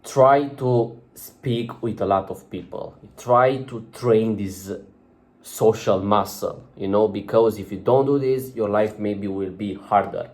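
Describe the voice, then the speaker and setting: A man's voice: on the low side, takes it slowly, darkish tone. A young man speaking English as a second language, giving casual advice to the camera in a loose, chatty way, full of fillers and restarts. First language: not English. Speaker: vlogger giving social advice.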